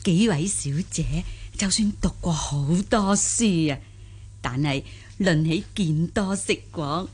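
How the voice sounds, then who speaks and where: A woman's voice: on the low side, slow pace, darkish tone, breathy edge. A woman playing a humble, curious old country granny in a Cantonese audio drama, hesitant and full of simple wonder. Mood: flattering.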